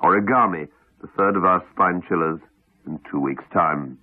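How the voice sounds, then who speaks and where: British guy doing formal radio continuity, lowish breathy voice, old dull broadcast. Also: moderate pace, no echo. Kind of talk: scripted radio announcement. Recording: dull 1980s radio broadcast, dry, faint music.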